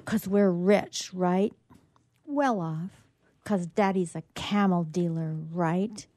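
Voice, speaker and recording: A woman's voice: fairly low pitch, slow, mellow, dry recording, clean studio sound. A woman reading a short story as an amazed, eager young child, with earnest questions.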